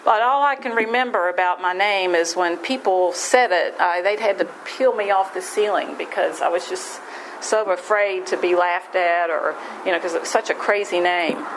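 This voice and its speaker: A woman's voice: fairly low pitch, moderate pace, a little hiss or hum, rough recording. Rambling West Virginian woman sharing her story. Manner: rambling, folksy, self-deprecating, frequent restarts. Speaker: speaker at a recovery meeting. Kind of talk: personal recovery share.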